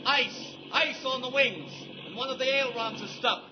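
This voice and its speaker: Very high, strained pilot. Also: male voice, moderate pace. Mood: alarmed, tense. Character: tense, harried airplane pilot. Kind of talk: 1940s radio acting. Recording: muffled, noisy 1940s radio recording.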